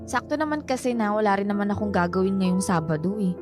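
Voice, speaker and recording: A woman's voice: medium pitch, lively intonation, fast talker, over music, natural voice. An actress playing a cheerful, polite young Filipino woman in a radio drama, chatty and respectful toward an older family friend. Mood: bashful, apologetic. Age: young adult.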